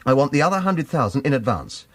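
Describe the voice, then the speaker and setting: A man's voice: mid-range voice, big pitch swings, fast talker, husky, dry recording. An actor with a British accent playing a dogged, wry man in trouble in a radio thriller, speaking in a clipped, insistent way. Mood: firm, urgent. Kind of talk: radio drama acting with first-person narration.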